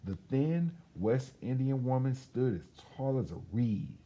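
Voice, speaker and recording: Animated man reading a children's book aloud. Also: mid-range voice, a little slow. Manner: expressive, chatty. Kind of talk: animated read-aloud with casual asides.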